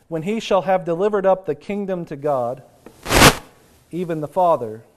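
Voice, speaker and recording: A man preaching a sermon, sounding congested and nasal from a sinus infection, slowing to stress key phrases. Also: a little high, mellow.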